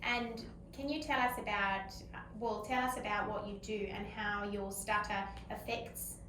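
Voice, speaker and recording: A woman's voice: mid-range voice, fairly even intonation, normal speed, fairly bright tone. A woman interviewing in a conversational, affirming and encouraging way. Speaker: interviewer, speech pathologist. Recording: echoey room, faint background noise.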